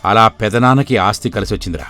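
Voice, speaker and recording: A man's voice: fairly low pitch, quick pace, bright, forceful tone, faint music behind. A man playing a poor older father in a village moral story, explaining things in a resigned way. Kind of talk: dramatized moral story for video.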